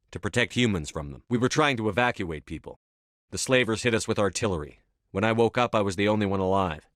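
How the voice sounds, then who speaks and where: A resolute space commander played by a man speaks low. His delivery is measured, terse and used to giving orders, as scripted video game dialogue. The recording is very dry, with no room sound. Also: a bit bright, dry recording, lightly acted. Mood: grim, bitter. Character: tough, resolute male military space commander.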